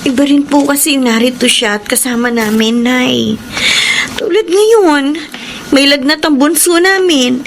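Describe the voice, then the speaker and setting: A woman's voice: high voice, a little slow, a little hiss or hum. An actress in a radio drama playing a wife who misses her husband working far away, worried and wistful. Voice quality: plaintive manner.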